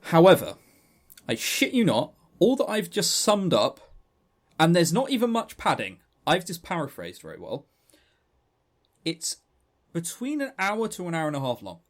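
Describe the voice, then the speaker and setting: A man's voice: a little high, huge pitch swings, normal speed. A man co-hosting a podcast, casually reviewing a game and retelling its story in a rambling, chatty way with stammering restarts. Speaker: podcast co-host.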